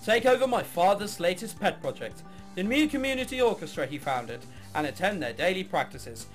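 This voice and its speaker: A man's voice: high voice, big pitch swings, moderate pace, soft background music. A man voicing a jaded young woman, a reluctant orchestra heiress in a visual novel, with sarcastic, bored delivery. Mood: wry narration.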